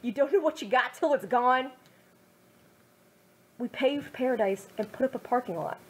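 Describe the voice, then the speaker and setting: A woman's voice: sits high, moderate pace, slight background noise, poor audio. A young woman reading her own fan fiction aloud, then adding wry, self-mocking commentary.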